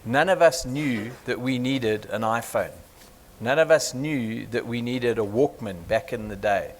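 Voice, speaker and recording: A man's voice: medium pitch, measured pace, a little hiss or hum. A man on a panel answering an audience member in a direct, conversational way.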